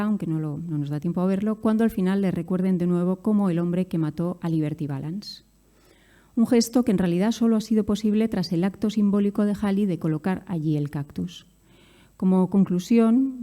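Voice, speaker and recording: Woman reading her academic paper at a conference, really mellow dark tone. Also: on the low side.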